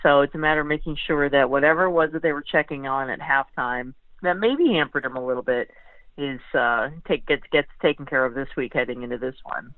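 A man's voice: mid-range voice, fairly quick, no echo. A man giving casual sports commentary as a guest writer, thinking aloud conversationally, over a tinny phone line.

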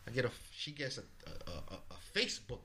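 A man from the Bronx telling a story as a podcast guest, animated, swearing freely and acting out what people said. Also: medium pitch, fast talker, slight background noise. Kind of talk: casual storytelling.

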